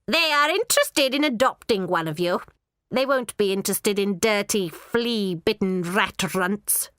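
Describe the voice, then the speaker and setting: A woman's voice: medium pitch, lively intonation, unhurried, fairly bright tone, dry recording, clean studio sound. A woman voicing a cruel, stern older woman who runs an orphanage, with a harsh barking delivery.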